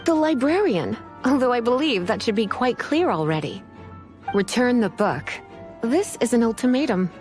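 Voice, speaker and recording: A woman's voice: a little high, big pitch swings, normal speed, darkish tone. A woman playing a poised, languid librarian mage in a video game, formal in wording, teasing and faintly menacing. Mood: stern. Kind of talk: video game character acting. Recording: music behind the voice.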